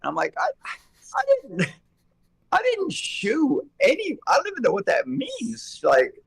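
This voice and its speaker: A man co-hosting a casual chat, slightly hoarse and rambling as he thinks aloud. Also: extremely high pitch, huge pitch swings, unhurried. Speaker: co-host.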